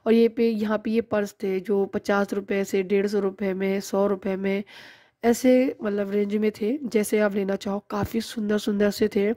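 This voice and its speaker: A woman's voice: medium pitch, level tone, moderate pace, warm tone, no room sound. Woman, thirties, casual vlog narration, chatty, dry close sound. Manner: chatty, explains prices and bargaining. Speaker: vlogger.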